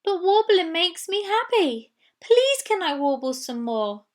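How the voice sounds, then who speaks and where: A woman's voice: very high-pitched, expressive, a little slow, pitched above the performer's own voice. A woman voicing an earnest, plaintive female walrus from a children's storybook. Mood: pleading. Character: pleading female walrus who adores singing.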